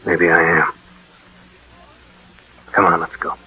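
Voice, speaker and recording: A man's voice: low voice, level tone, slow pace, sharp tone, husky, exaggerated voice. A man playing a hard-boiled, weary narcotics detective in a 1940s radio drama. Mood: sour. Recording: dull, muffled 1940s radio recording.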